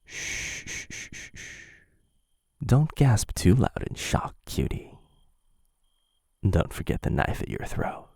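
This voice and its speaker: A man playing a menacing, infatuated assassin in a boyfriend ASMR roleplay, speaking low, hushed and intimate into a close microphone, teasing and often slipping into whispers. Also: sing-song, takes it slowly, long pauses, no echo, exaggerated voice. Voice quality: low, gravelly.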